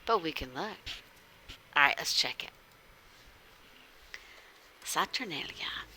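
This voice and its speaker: Female streamer narrating a story game: low-pitched, slow-paced, playful and theatrical. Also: slight background noise. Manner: animated, theatrical, drops into whispers. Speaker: livestream host reading a visual novel with chat. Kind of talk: playful livestream chat mixed with story narration.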